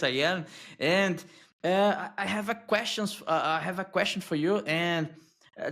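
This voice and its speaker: A man speaking non-native English with a foreign accent, interviewing as a podcast host in a halting, repetitive, agreeable way. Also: on the high side, gravelly, a bit bright. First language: not English. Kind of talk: casual interview.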